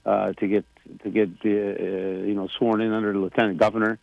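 A man in his sixties talks in a loose, rambling way, full of fillers and restarts. His voice has a gravelly, fried edge, and the sound is dry and close. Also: lowish voice, level tone, brisk, dry recording, phone-quality sound. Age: 60s. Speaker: president of a government, radio guest. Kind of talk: casual radio chat.

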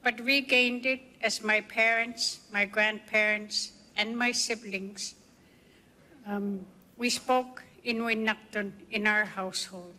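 An older woman from the Canadian Arctic, a guest speaker giving personal testimony in slow, measured storytelling. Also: medium pitch, fairly even intonation, pushed and bright, big-room sound, poor audio.

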